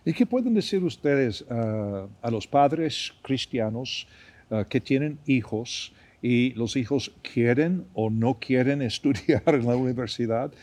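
A man's voice: mid-range voice, animated, slow pace, a little mellow. Male podcast host asking thoughtful questions. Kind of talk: conversational podcast interview.

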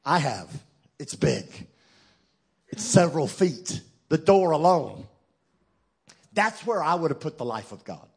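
Texan male preacher, slightly high voice, husky, punchy and playful with the crowd. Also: sing-song, a little slow, pauses often. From Texas. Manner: emphatic, joking, call-and-response with the crowd. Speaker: guest preacher. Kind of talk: sermon.

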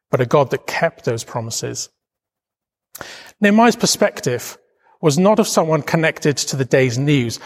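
A middle-aged man with a Welsh accent preaches in an earnest, reflective way, leaning hard on key words. His voice sits a little high for a man. It rings out with a long reverb, like a large hall. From Wales. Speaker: charity leader giving a Bible talk. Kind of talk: devotional sermon talk.

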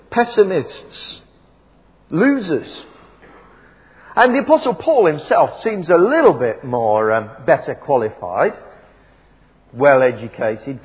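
A man gives a wry, conversational sermon, full of ums and self-corrections. His voice is a little husky, and it carries through the long reverb of a church PA system on a dull, lo-fi recording. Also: fairly high pitch. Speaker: preacher. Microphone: PA microphone.

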